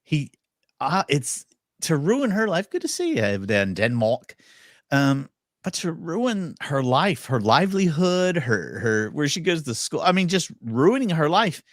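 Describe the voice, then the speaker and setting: A man's voice: fairly high pitch, unhurried, no echo, studio quality. A man co-hosting a court commentary live stream, talking casually and throwing out rhetorical questions. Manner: animated, exclaiming, rhetorical questions.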